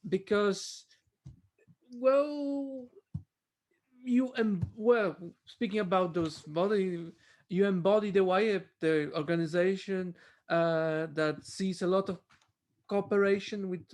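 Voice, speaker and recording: A man with a high voice steps in as moderator. He speaks politely and haltingly over a video call, repeating words as he searches for them. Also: a little mellow. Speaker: symposium organizer and moderator. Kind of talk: moderating an academic meeting.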